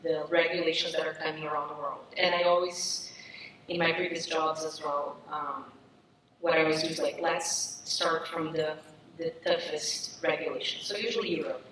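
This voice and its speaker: A woman from Brazil with a Brazilian accent, speaking earnestly as a panelist, with many restarts and fillers. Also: fairly low pitch. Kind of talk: panel discussion. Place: stage at a summit. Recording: echoey hall, distant mic, noisy.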